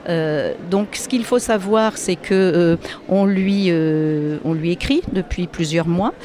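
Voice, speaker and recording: A woman's voice: on the low side, slow, dark tone, a little hiss or hum. A woman who volunteers for a human rights group, earnestly explaining a case step by step. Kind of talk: informative explanation. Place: busy public hall.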